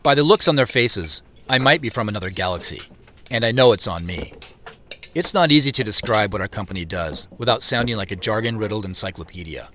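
A man's voice: on the low side, expressive, brisk, bright, forceful tone, a little hiss or hum. An actor playing a wistful, self-conscious man in his late thirties, narrating an audio drama in the first person with wry introspection.

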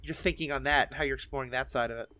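A man's voice: mid-range voice, fairly even intonation, very fast, pushed and bright, no room sound. A man hosting a podcast interview in a chatty, enthusiastic, conversational way. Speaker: podcast host. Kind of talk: conversational interview about fiction.

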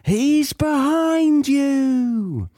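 A man voicing a frantic small child in the pantomime crowd, yelling urgently in a squeaky falsetto. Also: expressive, extremely slow, dry recording, studio quality, exaggerated voice. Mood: frantic, excited.

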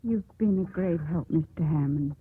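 An actress playing a steely young woman sea captain in 1950s radio drama style, crisp, formal and authoritative. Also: fairly low pitch, normal speed. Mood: stern, formal. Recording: tinny, noisy 1950s radio broadcast.

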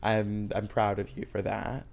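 A woman's voice: a bass voice, monotone, unhurried, creaky, darkish tone, slight background noise. A woman in her twenties co-hosting a podcast, chatting in a brash, swearing, comedic way. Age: 20s. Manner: brash, swears a lot, goes dramatic and squealy for laughs. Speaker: podcast co-host. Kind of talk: casual comedic chat.

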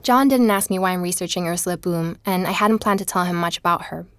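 A woman playing an investigator who narrates her own research in a measured, reflective audio-drama style. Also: on the low side, a bit bright, soft background music. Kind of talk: scripted first-person audio drama narration.

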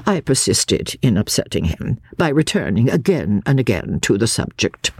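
A man narrates a classic novel in a formal, theatrical style. His voice is husky and creaky, and his pitch rises and falls dramatically across each phrase. The sound is close and dry. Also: sing-song, moderate pace, no echo. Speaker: audiobook narrator reading as a lawyer.

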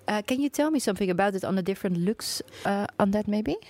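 A woman interviewing a guest, asking gentle questions with many hesitations and "mm-hmm" replies. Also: medium pitch, animated, fast, dry recording.

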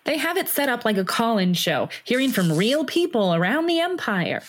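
A woman's voice: a little high, sing-song, moderate pace. A woman playing a brash, determined young rebel in an audio drama, blunt and impassioned in her delivery. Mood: eager.

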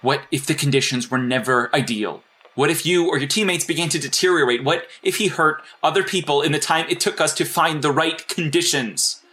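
Low-voiced, defensive hero strategist. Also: female voice, brisk, fairly bright tone. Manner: insistent, self-justifying. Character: defensive, calculating behind-the-scenes support hero, arguing over a call. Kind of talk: audio drama acting.

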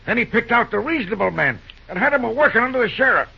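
Male actor as cautious country railroad hand, raspy, high voice, rustic dialect, vintage radio play. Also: lively intonation, fast talker, full character voice. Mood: admiring. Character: wary, worried backwoods railroad hand. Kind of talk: old-time radio drama acting. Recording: muffled old-time radio recording.

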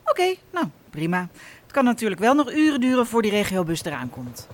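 A practical grown-up daughter in a naturalistic radio play. Her voice is fairly high and swings very widely in pitch as she speaks. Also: big pitch swings, a little fast, a little hiss or hum. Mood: caring. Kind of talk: naturalistic Dutch radio drama acting.

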